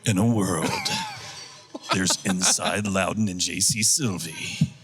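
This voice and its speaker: Trailer voice: man putting on a gravelly, bright, drawn-out announcer, grand. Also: low voice, faint music behind, voice pushed down, heavily acted. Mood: grandiose. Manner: slow, dramatic delivery. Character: booming male movie-trailer announcer.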